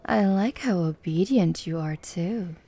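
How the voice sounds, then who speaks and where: A woman's voice: lowish voice, unhurried, mellow, breathy edge. A woman playing a flirty, confident tomboy MMA fighter in an ASMR roleplay, with a playful, teasing, coaxing manner. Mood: gently coaching.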